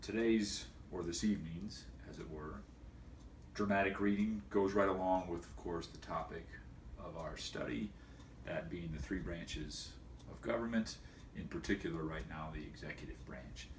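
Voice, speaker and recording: A man speaking as a teacher and host of a homemade video for students, in a folksy, wordy, deliberate way. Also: low-pitched, roomy sound, a little hiss or hum. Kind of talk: casual educational vlog for students, with read-aloud.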